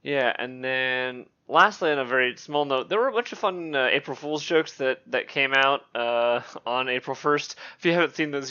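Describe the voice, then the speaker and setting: Bright-voiced man on a dull recording. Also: medium pitch, smooth. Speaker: podcast host. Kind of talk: casual podcast hosting.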